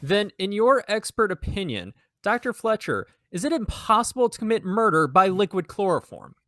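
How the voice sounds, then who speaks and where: A man's voice: high voice, big pitch swings, moderate pace, pushed and bright, no echo, full character voice. A man playing an earnest defending counsel, formal and persuasive, in an old-time radio drama. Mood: probing. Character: earnest, pleading defending counsel addressing court and jury.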